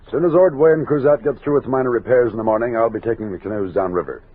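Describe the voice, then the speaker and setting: An American actor playing a steady, commanding army captain of a frontier expedition in an old-time radio drama. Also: male voice, mid-range voice, racing, slightly rough, narrow, tinny audio, natural voice. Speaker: lead actor and narrator. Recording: thin, noisy vintage radio recording.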